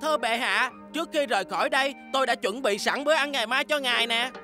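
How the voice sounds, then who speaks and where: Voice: sits high, fast talker, bright, forceful tone, faint music behind. An actor voicing a stubborn, plucky little male smurf chef in a Vietnamese cartoon dub, prone to exclaiming. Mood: cheerful.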